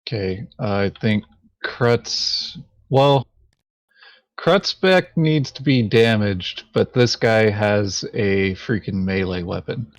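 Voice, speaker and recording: Man, lowish voice, unhurried delivery, casual tabletop strategy talk, dry sound. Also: no echo. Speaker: board game player, co-player in a playthrough. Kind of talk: casual tactical game chat.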